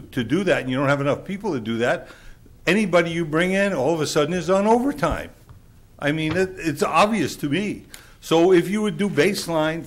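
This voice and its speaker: Loud, blunt man giving public comment. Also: fairly high pitch. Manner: blunt, exasperated, lecturing. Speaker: public commenter at a city council meeting.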